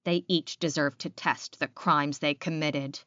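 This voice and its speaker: A woman's voice: deep voice, steady tone, a little slow, bright, forceful tone, dry recording, muffled recording. A woman voicing a cold, menacing pack leader in an audiobook, commanding and threatening. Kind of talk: audiobook character acting.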